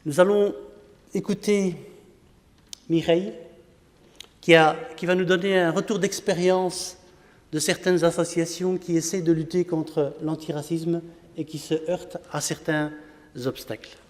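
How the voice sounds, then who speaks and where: A man speaking as conference moderator, giving a measured, formal introduction before a speaker. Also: on the high side, flat delivery. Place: parliament conference hall. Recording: long hall reverb.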